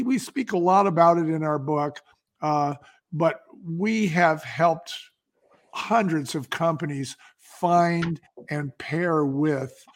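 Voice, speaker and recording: Higher-voiced American man, emphatic storyteller. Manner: emphatic, uses rhetorical questions. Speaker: interview guest, company founder. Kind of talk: conversational interview storytelling.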